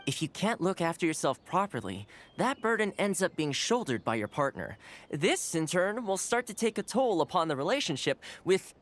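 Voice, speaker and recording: An actor playing a polite, earnest young male housekeeper who teaches a class in a video game, speaking clearly and courteously. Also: high voice, very animated, moderate pace. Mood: earnest, welcoming. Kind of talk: video game voice acting, instructional speech. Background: background music.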